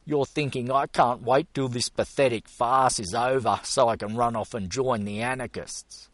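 A man's voice: mid-range voice, steady tone, moderate pace, a bit bright, no echo, voice pushed up, lightly acted. A man voicing a blustering, boastful bearded man who pushes his point hard. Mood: earnest. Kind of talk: audiobook character voice.

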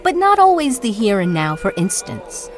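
Woman narrator, theatrical spoken word, incantatory deliberate phrasing, lively swooping intonation, soft music underneath. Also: on the high side. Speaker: narrator and performer. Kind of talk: dramatic spoken-word monologue.

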